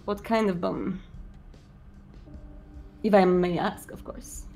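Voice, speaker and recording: A woman playing a polite, welcoming male vampire prince in a tabletop roleplay, speaking in a formal, measured way. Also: on the low side, fairly even intonation, creaky, a little mellow, faint music behind, low-quality recording. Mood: gracious, formal.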